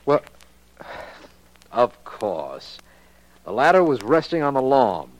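An actor playing a detective's inquisitive, genial official partner in a 1940s radio drama. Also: male voice, mid-range voice, very animated, takes it slowly, low-quality recording. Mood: puzzled, curious. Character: male official, detective's inquisitive, hearty crime-fighting partner.

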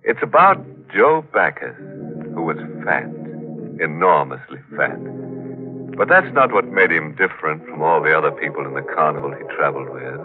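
A man playing a sly, macabre horror host on a 1940s radio mystery, tongue-in-cheek and mock-sinister, savouring his pauses. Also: extremely low pitch, expressive. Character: sly, macabre male horror host with gleefully morbid humour. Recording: vintage 1940s radio recording, narrow band. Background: music.

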